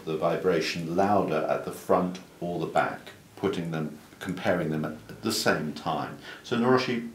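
Older man doctor, calm and low. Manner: calm, explanatory, with small restarts. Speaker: doctor demonstrating an exam. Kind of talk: clinical teaching demonstration. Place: clinic examination room. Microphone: far camera mic. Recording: roomy, distant sound with faint room hiss.

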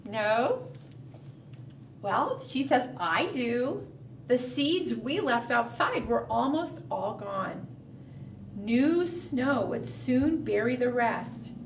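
A middle-aged woman leading a preschool storytime, animated and sing-song, coaxing small children with questions. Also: a little high, very slow. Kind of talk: storytime read-aloud and chat addressed to small children. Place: small indoor room, seated at a table. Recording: roomy, distant mic, faint room noise.

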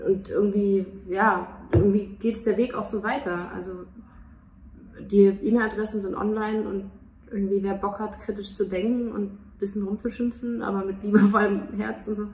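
A woman's voice: medium pitch, steady tone, normal speed, a little mellow, far from the mic. Young woman, interview guest, searching for words, echoey sound, faint noise. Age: young adult. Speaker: stage performer and interview guest. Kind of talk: casual, spontaneous interview talk. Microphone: handheld interview microphone. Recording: echoey, slightly noisy, off-mic.

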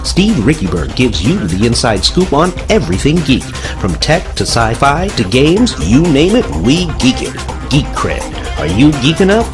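Animated man reading a promo. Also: mid-range voice, moderate pace. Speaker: promo announcer. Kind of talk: scripted podcast promo read. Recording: guitar music behind the voice.

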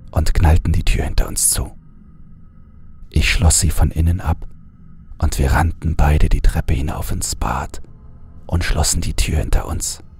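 A man reading a horror story aloud in a calm, measured first-person narration. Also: a bass voice.

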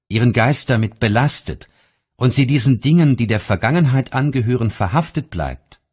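Male narrator for spiritual audiobook, mid-pitched, slightly breathy husk, expressive intonation, measured delivery.

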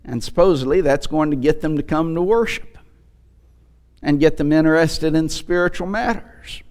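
A man's voice: fairly high pitch, moderate pace, darkish tone. A man in his sixties preaching a sermon from a church pulpit into a headset mic, emphatic, with rhetorical questions and repetition. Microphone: headset microphone.

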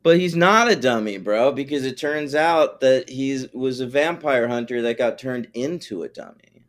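A man co-hosting a casual podcast, trading blunt, joking takes with his friend. His voice sits in a plain middle pitch. He speaks loosely and often drops in slang. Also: normal speed. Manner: jokey and blunt, calls co-host "bro". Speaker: podcast co-host. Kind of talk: casual chat recapping a TV episode.

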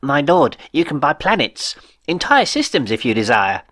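A man's voice: a little high, sing-song, moderate pace, a bit bright, dry recording, clean studio sound, pitched above the performer's own voice. A man voicing a nervous male tax accountant who hedges in financial jargon. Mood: reassuring. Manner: stammering, jargon-heavy.

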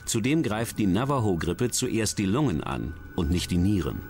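A man reading scripted documentary narration about science and crime in a measured, dramatic, clearly enunciated way. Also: on the low side, big pitch swings, darkish tone. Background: music.

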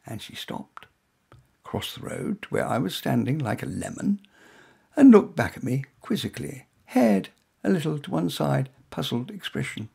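Gravelly, husky man reading wryly. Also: medium pitch, darkish tone. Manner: wry, self-deprecating, hesitant. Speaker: author reading his own story. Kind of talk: scripted first-person story narration.

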